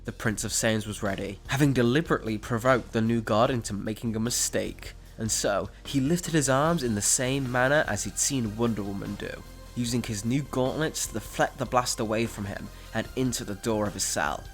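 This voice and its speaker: A man with a mid-pitched voice narrates a dramatic scripted story. He works as the storyteller of an audio drama, with faint music playing behind him. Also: normal speed.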